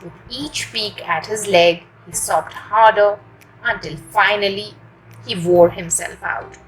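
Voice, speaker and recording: A woman reading a children's story aloud in an expressive, deliberate storytelling manner. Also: medium pitch, slight background noise, low-quality recording. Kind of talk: animated storytelling for young children.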